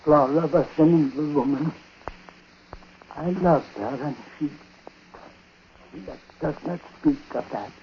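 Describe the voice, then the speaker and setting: Slow, halting, bitter old uncle. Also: male voice, fairly high pitch. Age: older. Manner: halting, grave, broken phrases. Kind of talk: 1940s radio acting. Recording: noisy, muffled 1940s radio recording.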